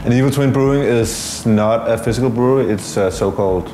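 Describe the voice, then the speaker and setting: A man, giving loose, relaxed interview answers full of fillers. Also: medium pitch, a little fast, reverberant, background noise. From Denmark.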